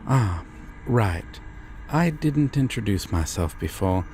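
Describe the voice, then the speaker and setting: Man as a courteous, slow-spoken god of dreams. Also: fairly low pitch, expressive, a little mellow, slight background noise. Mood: composed, grand. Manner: polite, formal, measured, theatrical pauses. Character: courteous, formal male deity of dreams, calmly mischievous. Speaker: voice actor in audio roleplay. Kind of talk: immersive roleplay addressed to the listener.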